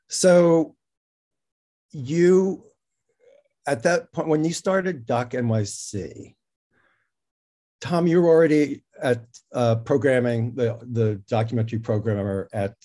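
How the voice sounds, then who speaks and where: A man hosting a casual interview, speaking in a halting way as he thinks aloud. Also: mid-range voice, warm tone. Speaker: interview host. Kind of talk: casual hosted conversation.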